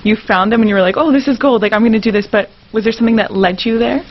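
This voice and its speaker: A woman's voice: on the high side, rapid-fire. A woman radio interviewer asking questions in a casual, conversational way. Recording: dull sound with faint noise.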